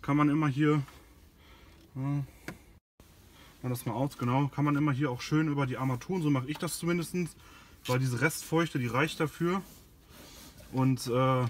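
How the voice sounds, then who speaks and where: A man's voice: medium pitch, fairly even intonation, normal speed, pauses often, slight background noise. A man giving a casual product demonstration as a car care host, informal and a little hesitant while he works. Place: inside and beside a car in a garage.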